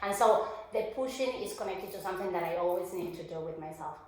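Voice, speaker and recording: A woman's voice: fairly low pitch, quick pace, very echoey. An older woman from Argentina co-hosting a podcast, chatting casually, laughing often and hesitating with many fillers. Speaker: podcast co-host. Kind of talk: casual chat.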